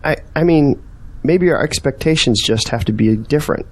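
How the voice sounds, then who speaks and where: A man's voice: on the high side, normal speed, natural voice. Earnest man, searching and persuasive, questioning manner, audio drama dialogue, acted by a man. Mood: tentative, reflective.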